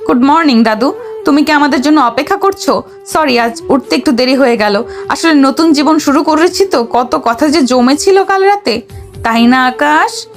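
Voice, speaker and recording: Actress as young heiress, high voice, haughty, assertive, audio romance drama, faint music bed. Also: female voice, lively intonation, moderate pace. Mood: sweet, coy. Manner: assertive, coy with elders, sharp with husband. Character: haughty, bossy young heiress, newly married, sweet-talking her grandfather. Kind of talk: audio story acting.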